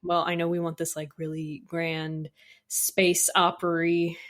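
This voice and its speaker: Woman w a lowish voice, composer guest on a casual interview, chatty and into it. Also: monotone, a little slow. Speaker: guest, composer for audio dramas.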